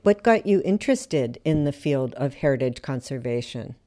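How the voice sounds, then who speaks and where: Woman interviewer, very low pitch, mellow tone, encouraging questions. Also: animated, normal speed. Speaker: podcast producer and interviewer. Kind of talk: podcast interview.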